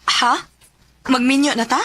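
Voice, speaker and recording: An actress playing a coy young sweetheart and devoted wife in a radio melodrama, tender, with a Cebuano accent. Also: female voice, sits high, steady tone, measured pace, over loud music. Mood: tender, excited. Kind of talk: Philippine radio melodrama acting.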